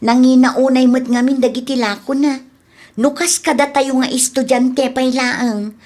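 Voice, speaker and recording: A woman's voice: sits high, steady tone, measured pace, darkish tone. A young woman playing a polite, thrifty student boarder in a radio comedy drama, speaking conversationally. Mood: matter-of-fact.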